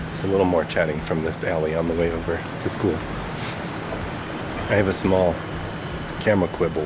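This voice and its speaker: A young man with a nasal, stuffed-up voice, talking in a relaxed, chatty way as he walks. His voice sits a little low. Clear background music plays under the city-street recording. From Chicago area, USA. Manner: relaxed, chatty, talks while walking. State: congested from a cold. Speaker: vlogger. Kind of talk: casual vlog chat.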